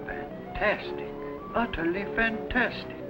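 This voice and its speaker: A Renaissance inventor full of courteous wonder, in a fairly high male voice. He speaks slowly and formally, with the theatrical delivery of a vintage sci-fi serial. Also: music in the background. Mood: amazed, disbelieving. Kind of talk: 1950s television sci-fi serial acting.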